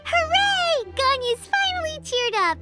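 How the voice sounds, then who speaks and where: A tiny game fairy with an extremely high, squeaky child's voice. She is excitable and blunt, swinging widely in pitch over prominent music. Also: unhurried, full character voice. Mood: excited. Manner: exclamatory, refers to self in third person. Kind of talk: video game voice acting.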